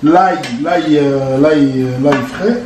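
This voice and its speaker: A man's voice: fairly high pitch, slow pace, noisy background. A man from Congo, cooking at home and chatting loosely, leaning on "voilà" and chuckling as he explains. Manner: relaxed, repeats "voilà" a lot, chuckles while explaining. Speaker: home cook. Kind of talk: casual cooking video chat. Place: home kitchen. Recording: echoey kitchen with music playing.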